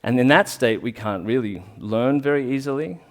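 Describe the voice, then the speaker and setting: A man's voice: mid-range voice, animated, normal speed. A man giving an informal talk to a small audience, earnest and reflective, often restarting his sentences. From Australia. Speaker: talk speaker, rolfer.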